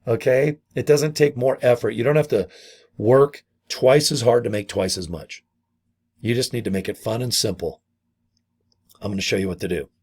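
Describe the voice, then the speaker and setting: A middle-aged man with a hoarse, gravelly voice from a vocal cord injury, casually pitching his online business and often calling his viewers "guys". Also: moderate pace, a little mellow. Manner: chatty, repeats "guys" and "okay". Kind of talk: casual sales pitch to camera.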